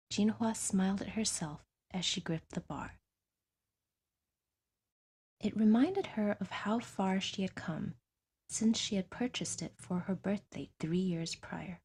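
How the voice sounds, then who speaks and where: A woman's voice: mid-range voice, darkish tone, husky. A woman reading a science fiction story as a scripted audiobook narration.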